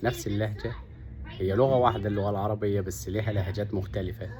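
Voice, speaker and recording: A man's voice: on the low side, steady tone, racing. A man from Upper Egypt with a Sa'idi accent, talking earnestly to camera and laying out his points one by one. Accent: Upper Egyptian (Sa'idi) Arabic. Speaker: vlogger. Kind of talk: casual monologue to camera.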